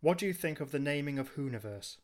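A man's voice: on the high side, animated, fast talker. A man hosting a podcast, chatting casually and reading out messages from listeners.

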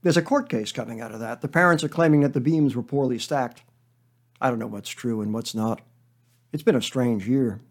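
A man reading a comic story monologue as a weary, defensive schoolteacher who rambles in a deadpan, hesitant way. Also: mid-range voice. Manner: deadpan, hesitant, self-justifying digressions.

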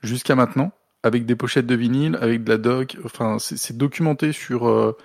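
A man's voice: mid-range voice, brisk, vocal fry, darkish tone, no room sound, studio quality. A man chatting casually as a podcast co-host, colloquial and joking. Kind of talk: casual music podcast chat.

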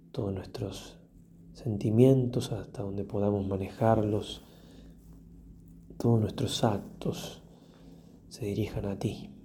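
A man, a priest leading a guided prayer meditation in a solemn, reflective manner with long pauses and softly trailing phrases. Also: lowish voice, slight background noise.

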